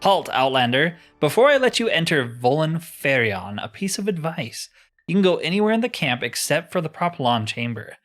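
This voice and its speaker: A man's voice: on the high side, big pitch swings, moderate pace, fairly bright tone, soft background music, voice pushed up. Man voicing a stern stronghold warrior guard. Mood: stern, warning.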